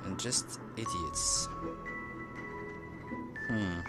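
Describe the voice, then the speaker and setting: A man's voice: deep voice, drawn-out words. A man doing playful, casual gameplay commentary, chattily reacting and echoing what characters say. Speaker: game streamer. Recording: game music under the voice. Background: video game music.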